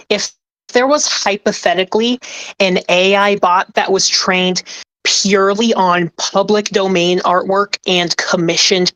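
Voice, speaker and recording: Woman on a podcast panel, talks real slow, earnest, lots of "like" and "you know". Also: mid-range voice, a bit bright, dry recording, low-quality recording. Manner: earnest, rambling, frequent fillers. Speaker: podcast panelist, ASMR creator. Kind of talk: casual group discussion.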